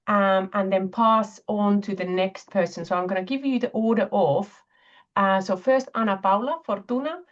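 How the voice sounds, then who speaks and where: A woman from Finland speaks English as a second language while chairing a video-call webinar. She pauses on fillers now and then. The audio sounds dull and lo-fi. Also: mid-range voice. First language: Finnish. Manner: hesitant, with frequent fillers. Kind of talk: online webinar presentation.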